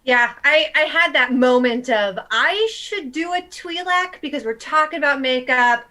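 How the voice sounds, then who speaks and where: Woman, bright and tense tone, chatty cosplayer on a video call. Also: sits high, animated. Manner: chatty, quick, self-mocking. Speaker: cosplayer panelist. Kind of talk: casual livestream chat. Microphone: video call mic.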